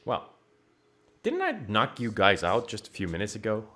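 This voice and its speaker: A man with a Finnish accent chatting and joking over a game at home, his voice jumping up when excited. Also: fairly low pitch, moderate pace. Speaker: game streamer. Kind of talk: casual game commentary. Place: home room.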